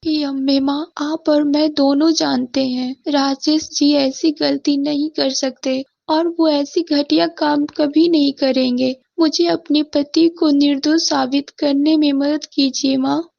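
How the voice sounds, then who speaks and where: A woman's voice: sits high, steady tone, slow pace, creaky, few pauses, darkish tone, dry recording, dull audio, full character voice. A woman voicing a devoted, determined poor wife and mother in a moral story, earnest and pleading. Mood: fervent pleading. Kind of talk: animated moral-story voice acting.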